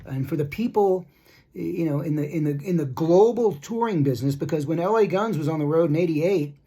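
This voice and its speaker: A middle-aged man giving a casual opinion monologue as a rock music commentator, blunt and critical, rambling with halting, repeated starts. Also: on the high side, animated, warm tone, breathy edge. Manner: rambling, repetitive, halting asides.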